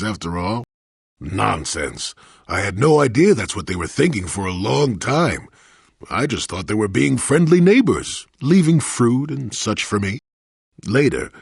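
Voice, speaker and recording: A male actor playing a crusty, wry old hermit and former Jedi in a video game, with a gravelly, husky voice and a grumbling storyteller's manner. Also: deep voice, no echo, studio quality, exaggerated voice. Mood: reflective storytelling. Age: older. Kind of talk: video game character dialogue.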